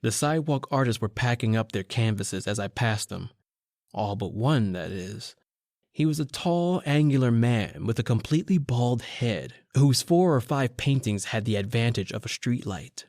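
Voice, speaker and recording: A man playing a haunted, brooding newspaper reporter who narrates his tale in old-time radio horror style, with dramatic pauses. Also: mid-range voice, expressive, darkish tone, no echo, clean studio sound, close to an everyday voice.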